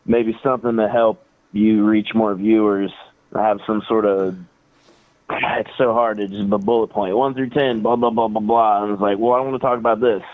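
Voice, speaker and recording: A man's voice: lowish voice, fairly even intonation, normal speed. American man on a thin phone line. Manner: colloquial, emphatic, acts out quoted remarks. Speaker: caller to a live show. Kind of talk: casual phone chat. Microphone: phone call.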